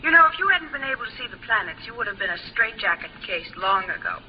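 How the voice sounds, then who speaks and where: An actress playing a cool, calm imaginary woman companion in a 1950s radio drama, speaking gently and with measure. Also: a little high, quick pace. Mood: cool, probing. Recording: noisy muffled 1950s radio recording.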